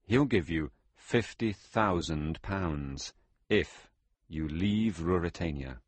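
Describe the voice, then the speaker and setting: A menacing henchman acted by a male narrator in a storybook. He talks softly and persuasively in a very low, husky voice. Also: slow, dry recording, heavily acted. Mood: coaxing menace. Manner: soft-spoken, coaxing. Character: smooth-talking menacing henchman of a duke. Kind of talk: audiobook character voice.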